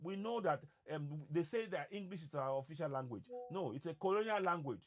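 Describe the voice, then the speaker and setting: A man's voice: a little high, animated, a little fast. A man from Nigeria whose first language is Yoruba, giving an impassioned monologue to his viewers and stressing points by repeating words. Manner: emphatic, repeats words for emphasis. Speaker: online activist host.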